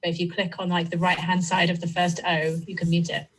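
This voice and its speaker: A woman's voice: lowish voice, completely flat delivery, a little fast, fairly bright tone, rough recording. A woman giving casual step-by-step technical help during an online session. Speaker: technical helper.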